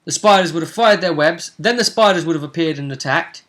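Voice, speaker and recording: A man with a somewhat high, bright voice chats through a tabletop session as its game master. He explains at length in a casual way, throwing in rhetorical questions to his partner. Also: brisk. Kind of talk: casual recap discussion.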